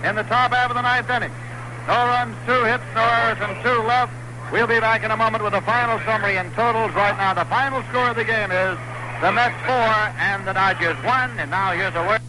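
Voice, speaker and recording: A man calling a baseball game play by play for radio in a measured, calm way, heard on a noisy 1960s broadcast. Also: high-pitched. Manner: measured, calm calling that lifts on big plays. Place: stadium broadcast booth.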